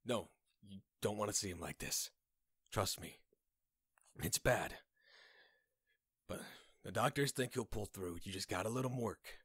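A man playing a somber, gentle consoler, quiet and reassuring, in film drama style. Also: fairly low pitch, unhurried, long pauses, husky, no room sound, studio quality. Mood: grave, gentle.

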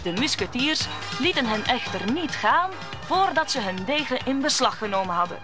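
A woman's voice: a little high, big pitch swings, normal speed, over music. A woman narrating a radio play as a scripted story.

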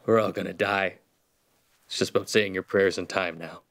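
A man's voice: sits low, fairly even intonation, moderate pace, a bit bright. A man playing a bitter, drunk, war-weary soldier in an audio drama, defiant and fatalistic. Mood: resigned.

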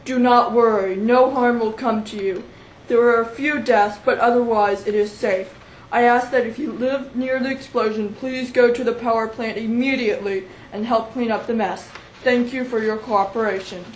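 A formal Soviet leader reassures the public, acted by a teenage girl in a school play. The voice is fairly high. The room echoes, with faint noise. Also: fairly even intonation, normal speed, natural voice. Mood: formal, reassuring. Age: young teen. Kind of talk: scripted public announcement in school drama.

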